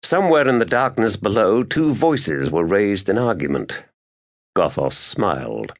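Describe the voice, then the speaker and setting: A man narrating an epic fantasy audiobook in a grave, measured, dramatic storytelling manner. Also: lowish voice, big pitch swings.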